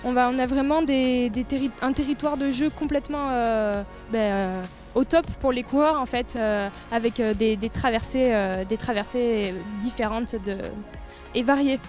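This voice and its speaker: A woman with a fairly high voice speaks as a tourist office representative in an informal interview. She sounds enthusiastic as she describes what is on offer, with faint music playing behind her. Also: fairly even intonation, normal speed, a little mellow. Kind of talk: informal promotional interview, describing local trails.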